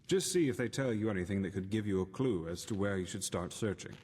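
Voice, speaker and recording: A thoughtful scholar speaks in a low male voice with earnest self-doubt. His delivery is reflective and articulate, like a fantasy game companion. The voice rings in a long hall reverb. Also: quick pace, a little mellow, breathy edge. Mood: earnest, pensive. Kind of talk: video game character dialogue.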